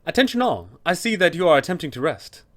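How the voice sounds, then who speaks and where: A man's voice: fairly high pitch, big pitch swings, quick pace, fairly bright tone, pitched above the performer's own voice. A man voicing an officious, polite, fussy undead tomb custodian with formal announcer phrasing, as a tabletop villain.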